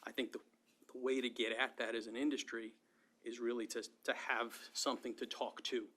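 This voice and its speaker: A man giving measured remarks at an advisory committee meeting, thinking aloud with frequent restarts. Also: mid-range voice, level tone, fairly bright tone. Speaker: committee member.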